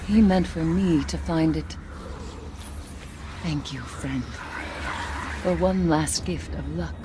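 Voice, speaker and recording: An actress playing a solemn, grieving survivor in a video game, haunted by lost friends, speaking deliberately and formally. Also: female voice, deep voice, background noise. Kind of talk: video game cutscene acting.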